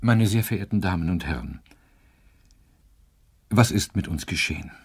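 An actor playing a grave, indignant professor giving a solemn, rhetorical commentary in a radio drama. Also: male voice, low voice, animated, normal speed, creaky, a little mellow, slightly rough, full character voice.

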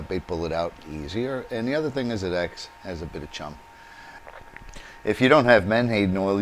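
A man's voice: low-pitched, slight background noise. A man hosting a do-it-yourself video, explaining each step as he works with his hands at a workbench in his home workshop. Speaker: DIY channel host. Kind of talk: hands-on how-to demonstration.

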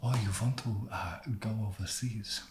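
Man playing a halting, deep-voiced awkward vampire. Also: close to an everyday voice. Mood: hesitant, creepy. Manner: halting, hesitant, softly spoken. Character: awkward, creepy, fussy male vampire landlord. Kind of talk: ASMR roleplay. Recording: roomy echo, loud background noise.

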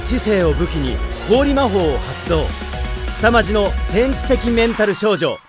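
A man's voice: sits high, big pitch swings, a little slow, over loud music. A man narrating dramatic anime character introductions like a theatrical announcer.

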